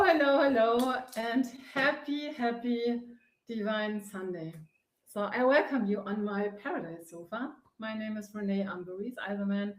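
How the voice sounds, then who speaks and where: A woman from Germany with a German accent, hosting her own inspirational live stream from a sofa, speaking earnestly and often pausing to search for words. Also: medium pitch. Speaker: host, face yoga founder. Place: sitting on a sofa. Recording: echoey room.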